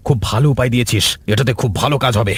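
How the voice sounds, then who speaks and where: A man's voice: mid-range voice, fast talker, breathy edge, no echo, natural voice. A man voicing a sly, confident village saboteur in a cartoon, with a conspiratorial manner. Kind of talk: cartoon voice acting.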